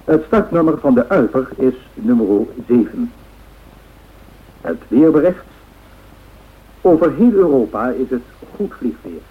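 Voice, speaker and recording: Formal old radio news guy, rough unclear voice, phone-thin recording. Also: medium pitch, sing-song, a little slow, pauses often. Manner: formal, factual. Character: formal radio newsreader reporting on an air race. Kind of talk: scripted radio news bulletin. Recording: muffled, tinny old radio broadcast sound.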